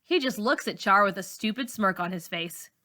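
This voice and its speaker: A woman teacher reading a novel aloud, with expressive, deliberate storybook narration. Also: mid-range voice.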